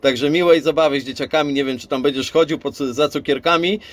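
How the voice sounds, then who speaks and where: A man's voice: fairly high pitch, fairly even intonation, fast. A man chatting casually as an amateur radio operator, rambling a little and repeating himself. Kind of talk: casual radio contact chat.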